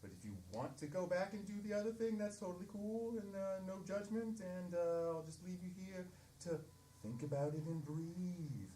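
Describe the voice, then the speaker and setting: A woman plays a bashful older ghost in improvised stage comedy. Her low voice is lively in pitch, halting and gently reassuring. It is recorded from a distance in an echoey, noisy space. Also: lightly acted. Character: awkward ghost of an older female doll inventor. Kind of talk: improvised live comedy audio drama.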